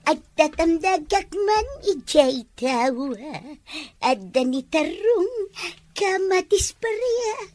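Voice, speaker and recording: A lively, high gossipy village wife. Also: female voice, slow, dry recording, full character voice. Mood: chatty. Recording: music playing behind.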